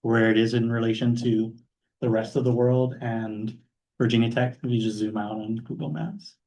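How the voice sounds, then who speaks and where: A young man from Hawaii giving an informal talk about his career as a guest speaker, relaxed, with many fillers. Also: mid-range voice, moderate pace, rough recording. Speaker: guest speaker, math postdoc.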